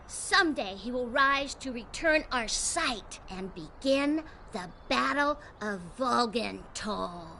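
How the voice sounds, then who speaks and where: Give me a solemn, high-voiced blind cultist priestess. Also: female voice, animated, slow pace, vocal fry, hardly any pauses, bright, forceful tone, a little hiss or hum, full character voice. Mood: solemn, grand.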